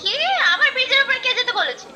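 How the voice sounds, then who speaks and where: Woman, very high voice, fast talker, irritated, complaining, phone call, background noise. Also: expressive, over music. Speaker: prank target on the phone.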